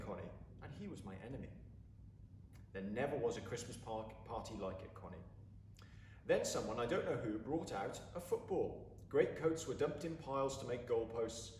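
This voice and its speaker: A man reads a story aloud in a dramatic, deliberate way. His mid-pitched voice moves widely up and down. The recording is echoey and a little distant, with faint noise. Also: a little mellow. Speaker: story reader. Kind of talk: expressive storybook narration. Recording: roomy, distant mic, faint background noise.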